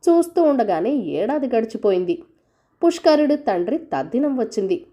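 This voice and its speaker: A woman tells a children's folk tale. Her narration is scripted and very animated, with pitch that moves widely from phrase to phrase. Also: normal speed. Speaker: storyteller.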